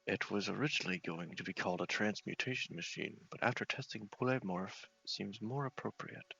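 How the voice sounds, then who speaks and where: A man's voice: on the low side, fairly quick, creaky, sharp tone, highs cut off, slight background noise. A man voicing an eccentric, polite, muddled scientist with fussy speech in an ASMR game roleplay. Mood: matter-of-fact, genial.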